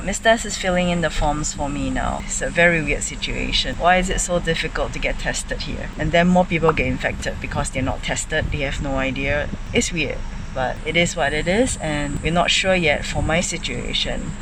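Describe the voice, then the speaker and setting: A woman with a sore throat and a fading voice, narrating a frank personal vlog on a handheld phone while waiting in a hospital, her voice often dropping low and soft. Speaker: vlogger. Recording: noisy ambience with air conditioning hum.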